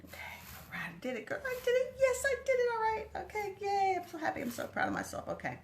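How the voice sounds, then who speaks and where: A woman's voice: very high-pitched, huge pitch swings, fast talker, a little hiss or hum. A woman from Texas with a Texan accent, hosting a casual live cooking stream and chatting with viewers by name in a kitchen. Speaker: cooking livestream host. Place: home kitchen.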